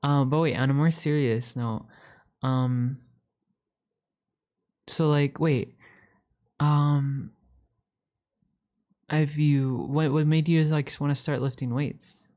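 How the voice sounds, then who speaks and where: A woman's voice: low voice, steady tone, slow, long pauses, warm tone. A woman voicing a playful, needy young catboy roommate in an ASMR roleplay, chatting casually with stammers and repeated words. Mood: earnest, friendly.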